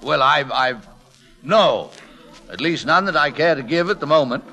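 An actor playing a shy, flustered middle-aged English gentleman posing as a valet, with a hesitant, stammering classic radio drama delivery. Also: fairly high pitch, lively intonation, normal speed. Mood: indignant, flustered. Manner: hesitant, stammering, indignant when challenged.

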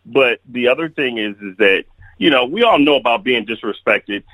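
A man's voice: medium pitch, expressive, moderate pace, pushed and bright, no room sound, poor audio. A man giving blunt, opinionated takes as the guest on a casual sports chat, often restarting his sentences. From Kalamazoo, Michigan.

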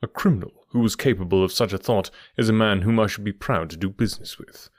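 A man voicing a brisk, methodical middle-aged male detective who explains his reasoning with precise confidence. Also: fairly low pitch, no echo, clean studio sound, natural voice. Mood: lecturing.

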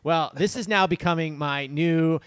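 A man hosting a casual podcast interview, enthusiastic and quick to laugh. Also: fairly high pitch, fairly bright tone, clear, resonant tone, no room sound, clean studio sound. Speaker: podcast host.

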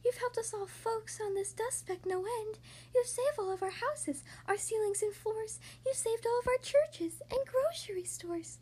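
Woman as miniature storybook mayor: very high, piping, faint, friendly and grateful. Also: a little slow, a little mellow. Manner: polite, earnest.

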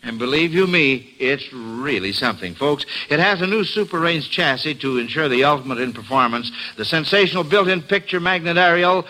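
A man's voice: on the high side, bright, forceful tone, poor audio. A man with an American accent hosting a classic radio quiz show, with folksy, chatty patter for kids and listeners. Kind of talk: classic mid-century radio hosting, part scripted and part ad-libbed.

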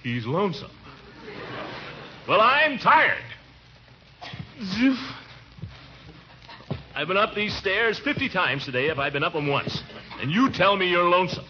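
On a noisy old radio comedy recording, a harried middle-aged American uncle. His high voice swoops up and down as he grumbles and blusters theatrically, exasperated to the last. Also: big pitch swings, a little slow, long pauses, pushed and bright, lo-fi sound, full character voice. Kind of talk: 1940s radio comedy acting.

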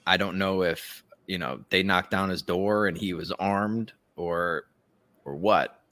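Blunt sweary guy on a podcast, low voice w a hard bright edge, sarcastic casual ranting. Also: fairly even intonation, a little slow. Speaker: podcast host. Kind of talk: casual opinionated commentary chat.

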